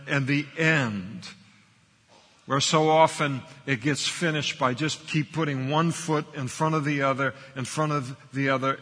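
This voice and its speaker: A man's voice: medium pitch, sharp tone. A man preaching a sermon as pastor, earnest and explanatory, with touches of dry humor. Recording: long hall reverb.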